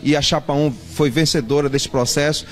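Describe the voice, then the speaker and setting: A man's voice: fairly high pitch, fairly even intonation, normal speed. A man answering an interview in formal, rhetorical phrases. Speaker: campaign coordinator, academic. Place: indoor room. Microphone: handheld reporter microphone.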